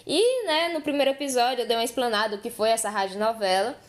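A woman's voice: fairly high pitch, animated, fast. A woman hosting a podcast in an informal, conversational storytelling way. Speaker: podcast host. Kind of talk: casual podcast narration.

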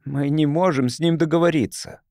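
A man's voice: on the high side, fairly even intonation, fairly quick, darkish tone, no echo, studio quality, pitched above the performer's own voice, natural voice. A man voicing an anxious, haughty colony councillor in an audiobook, speaking formally with vehement disdain. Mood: indignant.

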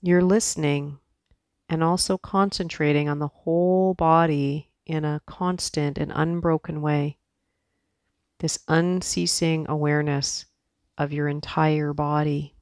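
Slow, even-toned woman guiding meditation. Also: sits low, dry recording, clean studio sound. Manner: soft, soothing, unhurried instruction with long pauses. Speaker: yoga and meditation teacher. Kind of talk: guided meditation.

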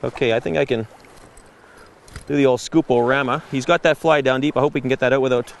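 A middle-aged man hosting a fishing show on location, wading in a river and exclaiming excitedly over his catch. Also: mid-range voice, fast, vocal fry. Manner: excited, exclaiming, laughing often. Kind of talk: on-location casual chat.

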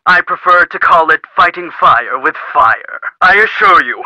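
Sharp, pompous businessman on the phone. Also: on the high side, no room sound. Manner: formal, pompous, curt. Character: stern, self-important busy businessman giving covert orders. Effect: telephone filter. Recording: dull, filtered phone-call sound.

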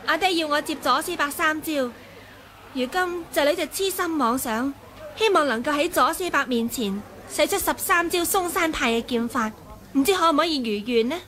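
A woman's voice: sits high, moderate pace, pushed and bright. An actress playing a bold, crafty young swordswoman in a Hong Kong Cantonese radio drama, with polite, artful manners. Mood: feigned humility. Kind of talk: 1980s radio drama acting. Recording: 1980 radio drama, busy sound-effects background.

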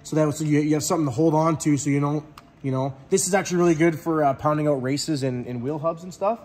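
A man's voice: on the high side, fast, a little mellow. A young man, a heavy diesel mechanic casually walking through his tool box in a shop garage, rambling and swearing easily.

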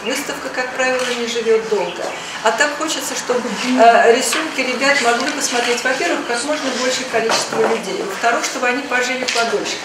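Distant echoey higher-voiced woman. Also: fast. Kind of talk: spoken remarks for news. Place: echoey indoor exhibition hall. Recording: echoey, distant, noisy room. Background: room noise.

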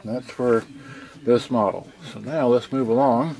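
A man giving an unscripted hands-on demonstration, speaking haltingly in short pieces with pauses, from behind a handheld camera indoors. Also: mid-range voice, vocal fry, warm tone. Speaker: hobbyist presenter showing his boombox collection. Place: indoor workshop with shelves and benches.

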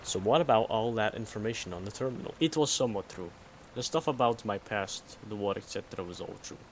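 A man playing a secretive, guarded synth copy of a Soviet spy in a game mod, explaining in a measured way. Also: fairly low pitch, lightly acted. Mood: secretive, matter-of-fact. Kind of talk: scripted game mod dialogue. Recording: noticeable background noise.

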